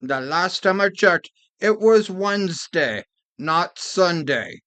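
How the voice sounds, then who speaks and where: A woman's voice: lowish voice, lively intonation, slow pace, a bit bright, no room sound. A woman voicing a scared male debtor who pleads defensively. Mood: nervous.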